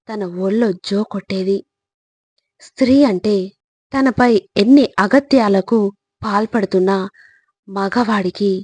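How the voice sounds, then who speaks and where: Husky woman, close dry narration. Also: mid-range voice, a little mellow, no echo. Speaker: narrator of motivational quotes. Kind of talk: scripted motivational narration.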